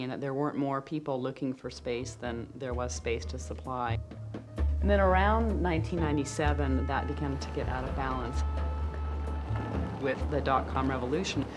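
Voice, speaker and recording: A speaker explaining economic history in a lively way during a documentary interview. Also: mid-range voice. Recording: clear music bed under voice.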